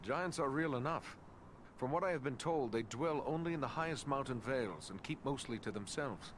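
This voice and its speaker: Knowledgeable fantasy game companion, male actor: calm, practical, medium register, noticeable background noise. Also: medium pitch, normal speed, close to an everyday voice. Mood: calm, informative. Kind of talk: scripted fantasy game dialogue.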